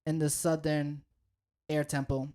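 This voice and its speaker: A woman's voice: deep voice, little pitch movement, a little slow, dark tone. A woman co-hosting a podcast in casual chat, stopping now and then to hunt for a word and joking about it. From United States. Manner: searches for words, self-deprecating. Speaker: podcast co-host.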